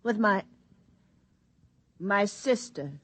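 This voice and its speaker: A woman's voice: medium pitch, animated, very slow. An actress playing a streetwise, wary young woman in an old-time radio drama, colloquial and guarded. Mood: nervous, placating.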